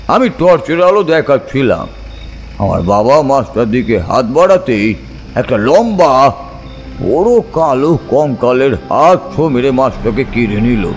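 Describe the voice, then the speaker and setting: A man narrating a scripted ghost story in a suspenseful, expressive, dramatic manner. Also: mid-range voice.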